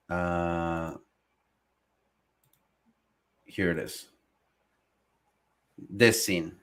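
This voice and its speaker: A man co-hosting a podcast in casual chat, loose and profane, laughing easily as he talks. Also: low-pitched. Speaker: podcast co-host. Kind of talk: casual chat reacting to anime dubs.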